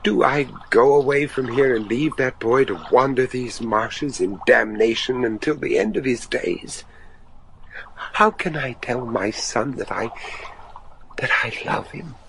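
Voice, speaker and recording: A man's voice: mid-range voice, expressive, measured pace, creaky. An anguished, devout father, noisy recording. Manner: theatrical, earnest, pleading. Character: devout, anguished father, a judge desperate to save his son. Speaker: actor. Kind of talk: gothic horror radio drama acting. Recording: noisy radio drama recording.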